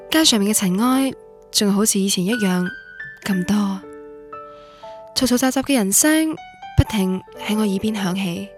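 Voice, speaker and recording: Young female student narrator: romantic, proud, confessional, Guangdong Cantonese, higher register, music bed, radio drama. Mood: reflective. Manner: wistful, confessional, literary. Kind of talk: scripted radio drama monologue. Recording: music under the voice.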